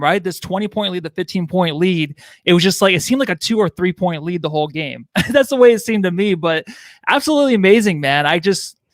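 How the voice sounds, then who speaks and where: A man's voice: fairly high pitch, no room sound. A man co-hosting a sports podcast in casual fan chat, rambling, slangy and self-deprecating. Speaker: podcast co-host. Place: small apartment.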